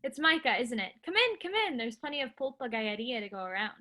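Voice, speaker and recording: An actress in a staged play reading, playing an upbeat, affectionate and excitable young pregnant woman. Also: a little high, quick pace, exaggerated voice. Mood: excited.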